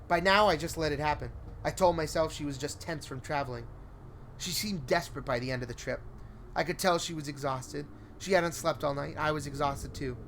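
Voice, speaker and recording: A man's voice: on the high side, brisk, a bit bright, a little hiss or hum. A man reading a scripted first-person horror story in a suspenseful, theatrical way. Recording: prominent music under the voice. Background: music.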